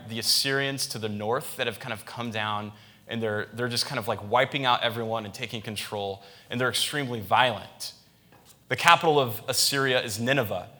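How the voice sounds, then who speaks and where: A man delivering a sermon with a bright, forceful voice at a middling pitch. He talks in a loose, conversational way, often restarting his phrases. His tone stays informal even when he explains scripture. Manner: conversational, many restarts and fillers. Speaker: pastor.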